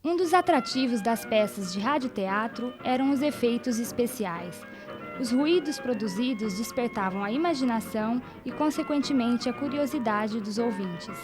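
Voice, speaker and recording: A woman with a Brazilian accent narrates a documentary from a script. Her voice sits a little high, with faint music playing behind it. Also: lively intonation, normal speed.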